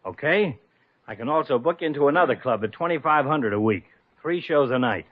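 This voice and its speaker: An actor playing a slick, shameless talent agent, glib and deadpan in 1950s radio comedy style. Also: male voice, medium pitch, close to an everyday voice. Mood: breezy, pitching. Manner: fast-talking, glib, deadpan. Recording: muffled lo-fi 1950s radio recording.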